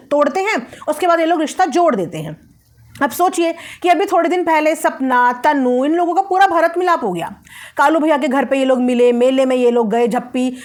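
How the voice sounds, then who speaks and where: A woman gives opinionated video commentary, speaking emphatically, repeating phrases and mixing in English words. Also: sits high. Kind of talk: opinionated commentary on social media family drama.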